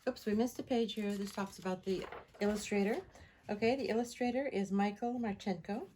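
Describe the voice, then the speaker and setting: A woman reading a picture book aloud to children in an expressive, animated storytelling style. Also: mid-range voice, a little fast, slight background noise. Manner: animated, repeats sound words.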